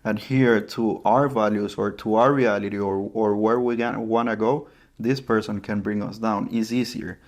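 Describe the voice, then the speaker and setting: A low-pitched man with a Honduran Spanish accent talks casually as a podcast co-host. He works his way through his points haltingly, restarting phrases and searching for the right word. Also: steady tone.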